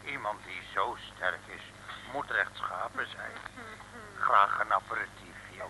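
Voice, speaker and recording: An actor playing a big, gruff French strongman in an old Dutch radio serial, blustering one moment and floridly pompous the next. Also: lowish voice, takes it slowly. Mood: fawning. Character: big gruff French strongman, rough brawler turned fawning admirer. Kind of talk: early-1960s Dutch radio serial acting. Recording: old radio recording, faint hiss.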